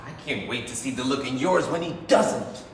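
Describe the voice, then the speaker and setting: A man's voice: mid-range voice, lively intonation, moderate pace, close to an everyday voice. A man playing a cocky, flippant younger brother and heir in a stage play, with projected wisecracks. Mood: dismissive. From Tanzania. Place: theatre stage, picked up from a distance. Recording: echoey theatre, distant mic, faint noise.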